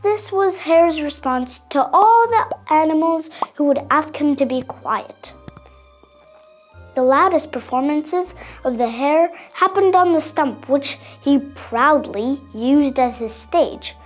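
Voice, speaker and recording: A little girl with a high voice reads a children's story aloud. Her delivery is expressive and dramatic, with faint music behind her. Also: slow pace. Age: young child. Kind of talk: scripted children's story narration.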